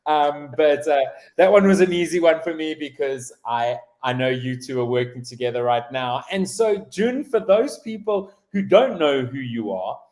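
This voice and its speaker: Man, host, somewhat high voice, halting, lots of restarts, video call. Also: darkish tone. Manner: halting, many restarts and fillers. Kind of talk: live stream interview hosting.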